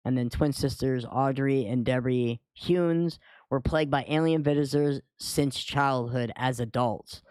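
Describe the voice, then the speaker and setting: Man, smooth clear voice, slightly high, podcast co-host, casual chat, dry recording. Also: measured pace, darkish tone, dry recording, low-quality recording.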